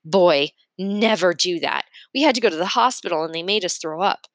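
A woman voicing a kind, quirky, encouraging woman teacher in a storybook reading, animated and gushing. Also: lowish voice, moderate pace, dry recording, clean studio sound, close to an everyday voice. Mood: playful, gleeful.

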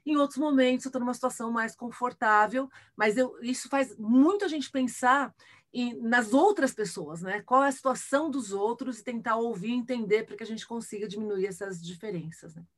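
A middle-aged Brazilian woman with a fairly high voice speaks as an interview guest. She has a Brazilian accent and explains things conversationally, often ending phrases with a short tag question to check agreement. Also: moderate pace. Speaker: interview guest, engineer and tech ambassador. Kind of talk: conversational interview answers, personal anecdotes.

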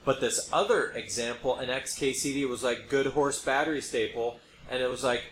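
A man's voice: mid-range voice, normal speed, a bit bright. A man hosting a podcast, explaining his opinions casually and emphatically, repeating points for effect. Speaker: podcast host. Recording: roomy echo, background noise.

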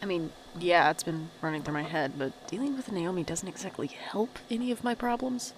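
A woman's voice: lowish voice, brisk, background noise, close to an everyday voice. A woman playing a guarded, wry young adult who is estranged from family, talking and narrating introspectively in an audio drama. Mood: guarded, weary. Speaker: main character and narrator.

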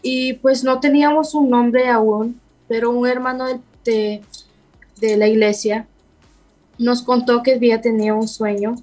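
Young woman, Spanish speaker, halting and searching for words, slightly high voice, faint music. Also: steady tone, darkish tone, low-quality recording. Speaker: singer and bassist of a worship band. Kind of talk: interview guest.

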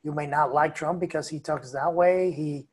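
A man's voice: a little high, steady tone, normal speed, slightly rough. A man speaking as a guest commentator on a political podcast, emphatic and opinionated. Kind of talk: casual political podcast talk.

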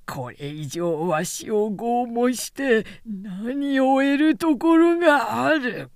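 A woman narrator voicing a defiant old male physician under torture, declaiming archaic words slowly and fiercely in a storybook reading. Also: extremely high pitch, animated, clean tone, dry recording, clean studio sound, pitched above the performer's own voice, heavily acted. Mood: furious defiance. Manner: slow, drawn-out declaiming with archaic speech.

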